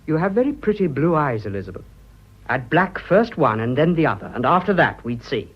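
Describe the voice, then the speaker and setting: Husky, high-pitched brash young British lover. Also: male voice, big pitch swings, a little fast. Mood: teasing. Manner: blunt, clipped upper-class slang. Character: brash, ardent young English lover, blunt and teasing. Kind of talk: radio drama acting. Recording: dull, muffled radio drama audio.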